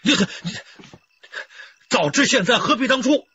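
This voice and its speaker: An actor playing an anxious older village duck farmer who stammers and frets in a radio drama. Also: male voice, very high voice, animated, a little slow, bright, forceful tone, slight background noise, full character voice. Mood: flustered. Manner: flustered, stammering. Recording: dull lo-fi radio play audio.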